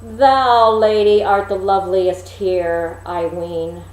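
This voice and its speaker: A woman voicing a solemn, truth-telling magic mirror in a storybook, with formal, halting proclamations. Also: mid-range voice, flat delivery, darkish tone, close to an everyday voice. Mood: solemn, proclaiming. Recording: roomy echo, faint background noise.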